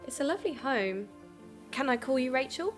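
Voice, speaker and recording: A woman plays a conscientious investigative podcaster in an audio drama. Her voice sits a little high and sounds earnest, with music clearly running behind it. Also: expressive, normal speed. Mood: polite, careful. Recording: music bed under the voice.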